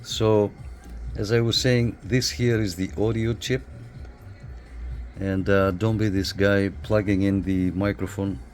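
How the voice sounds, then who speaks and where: A man giving a casual hands-on equipment review in a halting, informal, explanatory way. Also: on the low side, level tone, a little mellow, faint music behind. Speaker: radio hobbyist reviewer. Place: small apartment room.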